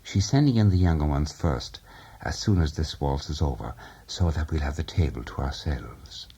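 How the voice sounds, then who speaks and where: A man voicing a lively young wife, chatting in a quick, confiding way. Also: a bass voice, breathy edge, exaggerated voice.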